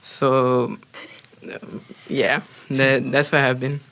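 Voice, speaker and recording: Teen boy, native Spanish speaker, heavy vocal fry, sheepish and self-mocking. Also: mid-range voice, level tone, very slow, a bit bright. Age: teens. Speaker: student speech competitor. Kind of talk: casual chat.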